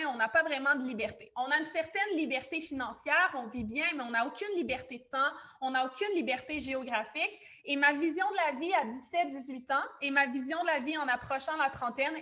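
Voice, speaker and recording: Bright, high-voiced Québécois woman presenting. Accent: Québécois French. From Quebec, Canada. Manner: effusive, welcoming, informal. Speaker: webinar host and business coach. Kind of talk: informal online webinar presentation to an audience.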